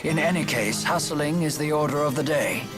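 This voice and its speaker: A man playing a dignified, formal advisor in a video game, speaking gravely and with measured care. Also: on the high side, over loud music, lightly acted. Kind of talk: video game English dub acting.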